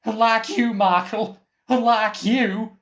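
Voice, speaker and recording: A man's voice: high voice, steady tone, slow, a bit bright. A man playing an eccentric, evasive film collaborator in a comic radio play, insistent and theatrically cryptic. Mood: taunting. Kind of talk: scripted comic mock interview.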